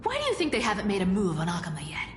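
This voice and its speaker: Sly teasing thief goddess, bouncy playful voice, echoey with music under it. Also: female voice, medium pitch, wildly swooping pitch, racing, a little hiss or hum. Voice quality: playful, mocking. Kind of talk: game voice acting. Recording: roomy echo, long reverb, music behind.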